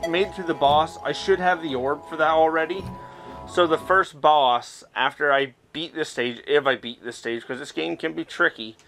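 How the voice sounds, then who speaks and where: A man's voice: a little high, a bit bright. A middle-aged man hosting a gaming video, explaining things casually with a self-deprecating manner as he plays. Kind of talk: casual gameplay commentary. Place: indoors, recording while playing VR game. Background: game music.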